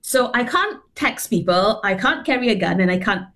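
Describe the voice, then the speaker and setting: A woman's voice: mid-range voice, animated, moderate pace, fairly bright tone. A middle-aged woman from Singapore, a guest telling her story earnestly over a video call, with fillers and restarts. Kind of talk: remote interview.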